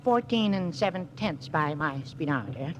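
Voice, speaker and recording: Actor as meek high-pitched radio detective fellow. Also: male voice, expressive, normal speed, muffled recording, lightly acted. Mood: fussy, hesitant. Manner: hesitant, fussy, precise. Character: meek, literal-minded male small-time detective. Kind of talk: 1940s radio drama acting. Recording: noisy vintage radio recording.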